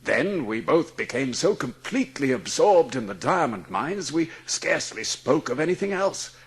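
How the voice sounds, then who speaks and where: A man acts out a wealthy gentleman of middle age for an audiobook drama, sick and eaten up by guilt. His husky voice rises and falls widely, halting with anguish as he speaks. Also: mid-range voice, sing-song. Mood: troubled, unsure. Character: guilt-ridden ailing wealthy English gentleman.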